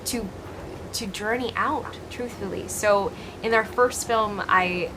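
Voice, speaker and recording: A woman's voice: mid-range voice, takes it slowly, noisy background. Earnest young woman in an interview. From Hawaii. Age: 20s. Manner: earnest, expressive, with repeated restarts. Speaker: actress and interview guest. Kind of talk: interview answers.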